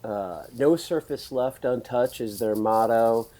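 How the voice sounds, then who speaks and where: A man hosting a podcast in a casual, rambling interview chat, his voice raspy from screaming at a concert. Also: medium pitch, takes it slowly, dark tone. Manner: chatty, rambling, laughs often. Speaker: podcast host.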